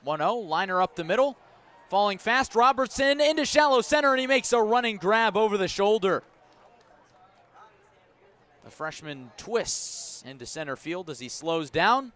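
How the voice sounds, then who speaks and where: A man doing live baseball play-by-play on the radio at an outdoor ballpark, measured between plays and rising with the action. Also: high voice, animated, fairly bright tone. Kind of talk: live sports commentary.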